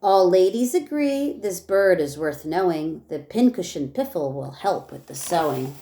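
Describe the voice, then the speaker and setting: Slow-paced woman reading a picture book. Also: on the low side, big pitch swings, dark tone, a little hiss or hum. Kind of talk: read-aloud storytelling with casual asides.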